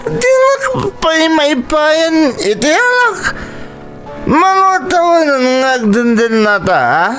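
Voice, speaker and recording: A bitter, accusing husband in a Filipino radio drama, with a Filipino accent. He speaks slowly and drunkenly, with big swings in pitch, over quiet music. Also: very animated, exaggerated voice. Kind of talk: Ilocano radio drama acting. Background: music under the dialogue.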